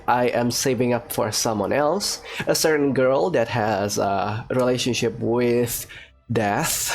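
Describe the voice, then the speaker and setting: A man giving casual let's play commentary, talking haltingly as he thinks aloud and adds wry asides. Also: fairly high pitch. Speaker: gaming YouTuber. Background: game music.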